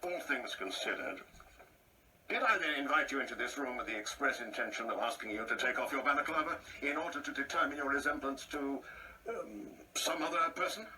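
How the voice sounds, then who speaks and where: A man's voice: medium pitch, bright, forceful tone, far from the mic, poor audio. An actor playing a proud, insecure older man in a radio play, speaking in a pompous, precise, theatrical way. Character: proud, insecure ageing man, bitterly reminiscing and taunting.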